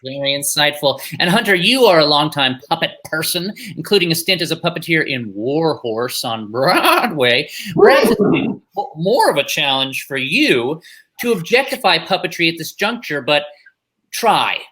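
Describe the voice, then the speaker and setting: A man's voice: fairly high pitch, sing-song, moderate pace, sharp tone. A man presenting a competition show in a scripted, theatrical, mock-formal and wordy manner.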